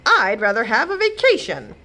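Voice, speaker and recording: A woman's voice: fairly high pitch, wildly swooping pitch, moderate pace, bright, forceful tone, voice pushed up, full character voice. A woman voicing a weary, touchy fairy godmother who mutters and complains, in a children's storybook read-aloud. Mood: relieved.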